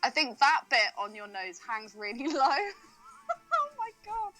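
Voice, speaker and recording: A high-voiced woman co-hosts a film podcast with giggly, sweary banter. Her pitch swings about in a lively way, and light music plays behind her. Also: measured pace, poor audio. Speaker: podcast co-host.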